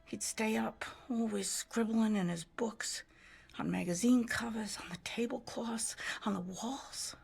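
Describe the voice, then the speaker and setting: An actress playing a weary, haunted older American widow in a game cutscene, telling her story wistfully in a husky voice that often drops to a breathy hush. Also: a little high, faint music behind, full character voice. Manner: slow-burning, wistful storytelling. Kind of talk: dramatic game cutscene monologue.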